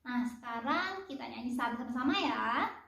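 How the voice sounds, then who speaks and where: A woman teaching a primary school class, talking slowly and clearly like a teacher. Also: a little high, lively intonation, a bit bright. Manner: slow, clear teacherly talk, sings children's song and solfege. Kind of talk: online class lesson with singing. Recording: echoey room, dull lo-fi sound.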